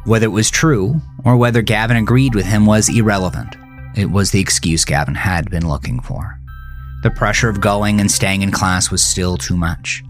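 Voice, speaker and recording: Male narrator, low register, measured dramatic delivery for a horror thriller audio story, faint music behind.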